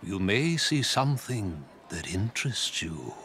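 An actor playing a solemn, grateful alien priest who speaks formally in an animated series. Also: male voice, fairly low pitch, unhurried.

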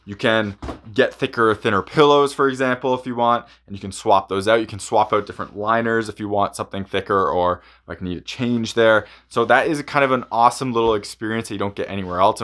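A man's voice: on the low side, a bit bright. A man giving a casual product review in a conversational, rambling way. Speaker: hockey gear reviewer.

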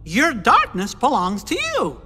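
An actor voicing a small, kindly cartoon mouse king in a video game, with a squeaky falsetto and a gentle, folksy, earnest manner. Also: huge pitch swings, a little slow, exaggerated voice. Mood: gentle, reassuring. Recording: long hall reverb, clear music underneath.

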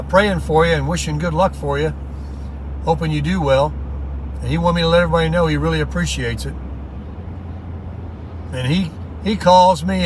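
A man's voice: on the high side, fairly bright tone, low-quality recording. A middle-aged man vlogging from his car, rambling in a casual, folksy, upbeat way. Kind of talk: casual rambling talk while driving. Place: inside a moving car. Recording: faint road noise in car. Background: road and car noise.

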